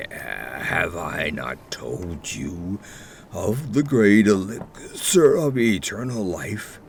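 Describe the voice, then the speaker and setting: An ancient evil sorcerer gasps out his vengeance in a deep, gravelly voice. He drags his words out and swoops from shrieks down to low gloating in horror audio drama style, with a faint noise behind. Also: heavily acted. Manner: shrieking, drawn-out, gloating delivery. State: gasping dying breath. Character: dying six-hundred-year-old evil sorcerer, malevolent and vengeful.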